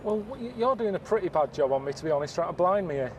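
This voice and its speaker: A man with a slightly high voice who talks in a halting, deadpan way. Street noise is clearly heard around him. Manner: hesitant, deadpan. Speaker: comedian guest.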